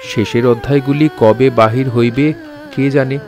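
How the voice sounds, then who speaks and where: Man, audio drama narrator, formal literary storytelling, middle pitch, faint music behind. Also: fairly even intonation, normal speed, darkish tone.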